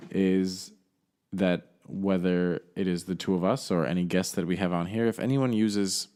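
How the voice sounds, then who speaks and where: A man co-hosting a podcast, talking in a measured, explaining way and asking follow-up questions. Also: deep voice, steady tone, dark tone. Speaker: podcast co-host. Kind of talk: casual chat.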